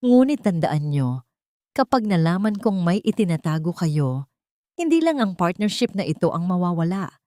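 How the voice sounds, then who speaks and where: A cold, glamorous woman out for revenge, as played by a female narrator, speaks in a dry, close recording. She is haughty and measured, with threat in her words, in the style of an audio drama. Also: on the low side, big pitch swings, mellow, no echo. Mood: stern, threatening.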